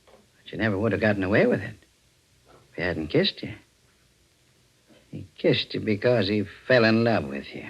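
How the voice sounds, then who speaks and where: An actor playing a stern, shrewd district attorney with measured, accusing delivery in 1940s radio style. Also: male voice, on the low side, sing-song, husky, highs cut off, full character voice. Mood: grave revelation.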